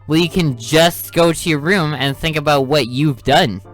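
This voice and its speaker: A man's voice: a little high, moderate pace, faint music behind, natural voice. A man reading the lines of a stern, tired detective father who scolds his daughter. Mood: scolding. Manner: calm then sharply interrogating.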